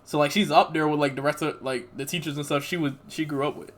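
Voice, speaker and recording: Streamer, male, higher-pitched and bright, loose profane slang. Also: fairly even intonation, fast talker. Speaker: streamer reacting to a show. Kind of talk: casual reaction commentary.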